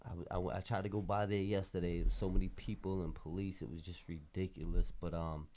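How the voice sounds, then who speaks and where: A man's voice: low voice, level tone, fast, warm tone. A man with a Boston accent, a podcast host talking casually in street slang with an emphatic, rambling flow. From Boston area, Massachusetts.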